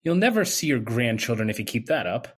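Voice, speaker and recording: An actor playing a guarded, brooding young intern doctor in an old-time radio drama. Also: male voice, medium pitch, big pitch swings, fairly quick. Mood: casual.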